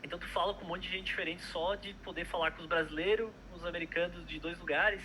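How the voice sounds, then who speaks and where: A man's voice: sits high, steady tone, quick pace, bright, forceful tone, slight background noise, poor audio. A young man, a product manager chatting casually in an interview, hesitant with many fillers and restarts.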